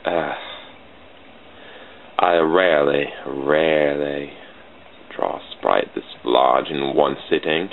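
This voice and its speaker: Very deep, gravelly British-accented man. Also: slow pace, pauses often. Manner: rambling, talks to himself, self-deprecating. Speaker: channel host drawing sprites. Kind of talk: casual commentary while drawing. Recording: tinny phone-like lo-fi mic with faint hiss.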